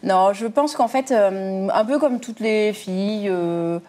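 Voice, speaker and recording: A woman from southwest France with a dark, mellow voice, answering an interviewer's questions. She talks easily but hesitates often, filling pauses as she thinks. Her pitch sits at an ordinary middle height for a woman. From southwest France, near Bordeaux. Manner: easygoing, hesitant with many fillers. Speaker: guest, company security manager.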